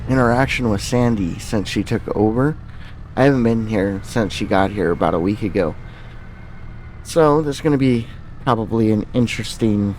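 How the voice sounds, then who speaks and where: A man's voice: medium pitch, a little mellow. Man narrating gameplay, faint background noise. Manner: thinks aloud, narrating each step. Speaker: gaming video host. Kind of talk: casual gameplay commentary with roleplay.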